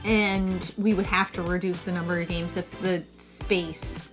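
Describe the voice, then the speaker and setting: A woman's voice: fairly low pitch, little pitch movement, unhurried, over music, rough recording. A woman in her forties co-hosting a casual chat, laying out figures and averages. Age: 40s. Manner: chatty, lays out figures and averages. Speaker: co-host of a retro gaming channel.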